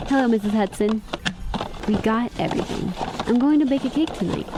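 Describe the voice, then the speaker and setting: A woman's voice: on the high side, brisk, music in the background, natural voice. A woman playing a kind, sensible young sweetheart with a wounded heart in a radio drama. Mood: cheerful, then concerned. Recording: clear background noise.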